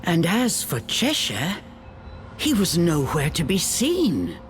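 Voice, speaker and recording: A woman narrating a fairytale-like video game story in a measured, storybook manner. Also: lowish voice, huge pitch swings, faint music behind.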